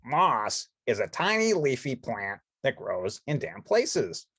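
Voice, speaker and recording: A sensible, bookish puppet man, played by a woman in a children's storybook. The voice is husky and dry-recorded, explaining things matter-of-factly like a little teacher. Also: rumbling low, very animated, takes it slowly, a bit bright, no room sound, exaggerated voice. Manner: matter-of-fact, instructive. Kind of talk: children's storybook read-along character voice.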